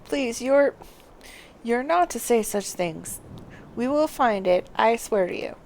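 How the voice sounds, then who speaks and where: Woman reading as a slow, deferential, worried servant. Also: a little high, expressive, darkish tone, slight background noise. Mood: pleading. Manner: formal, pleading, reading quest text aloud. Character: deferential, worried servant pleading with a noble lady.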